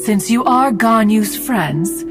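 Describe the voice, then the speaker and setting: A woman's voice: fairly high pitch, expressive, slow pace. A woman voicing a proud, ancient immortal guardian in a video game, with stately, archaic, talkative delivery. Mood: self-satisfied. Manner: stately, archaic, calls herself "one". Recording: clear game music behind. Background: game music.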